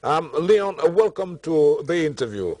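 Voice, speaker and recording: A man's voice: fairly high pitch, very animated, a little slow, darkish tone. A man working as a program host, conducting a broadcast interview with a guest.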